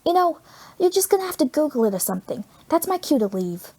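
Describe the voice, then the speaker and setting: A woman's voice: sits high, sing-song, quick pace, dark tone, voice pushed up. Female narrator as teenage boy hero, wisecracking, breezy, sarcastic, chatty, audiobook dialogue. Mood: playful taunting. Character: wisecracking fifteen-year-old boy superhero, cheeky and chatty.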